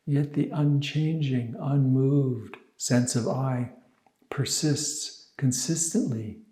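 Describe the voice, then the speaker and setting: A man guides a meditation in a calm, very unhurried way, leaving space between phrases. His mid-pitched voice is soft-edged and mellow, with a clear creaky fry. The sound is roomy and echoing. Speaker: meditation teacher. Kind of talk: guided meditation, slow and measured.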